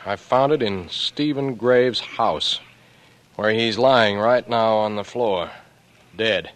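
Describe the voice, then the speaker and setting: Low-voiced, cagey young blackmailer. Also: male voice, slow. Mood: accusing. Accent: American. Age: young adult. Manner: terse, pointed, wary. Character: cagey, brash young small-time blackmailer, suspicious and cocky. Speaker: radio actor. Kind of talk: 1940s radio drama acting. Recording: noisy vintage radio broadcast recording.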